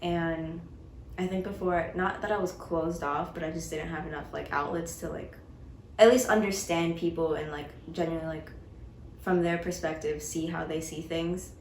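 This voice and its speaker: A woman's voice: low voice, normal speed. An eighteen-year-old woman talking casually about skating, with lots of "like" fillers. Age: young adult. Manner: relaxed, many "like" fillers. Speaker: skater. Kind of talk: casual interview answers. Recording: echoey room with background noise.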